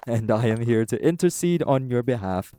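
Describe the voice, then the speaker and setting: A man's voice: medium pitch, animated, dark tone, lightly acted. A man playing a polite, earnest guardian angel who speaks formally and diplomatically in an audio roleplay. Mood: composed, formal. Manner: formal, courteous, measured explaining.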